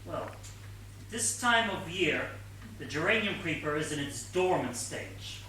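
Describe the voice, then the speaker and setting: Man playing a pedantic detective sidekick, sharp high voice. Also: animated, unhurried. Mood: pedantic reasoning. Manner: precise, pedantic, explanatory. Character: earnest, pedantic male detective sidekick, patiently logical. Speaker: stage actor. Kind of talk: comic stage play acting. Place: theatre stage, mic far off. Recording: distant, echoey theatre stage recording, faint hiss.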